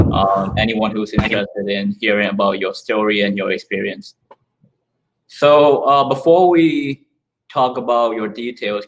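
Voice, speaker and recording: Man, webinar host, fairly low voice, halting questions with fillers. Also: a bit bright, slight background noise, low-quality recording. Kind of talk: webinar interview.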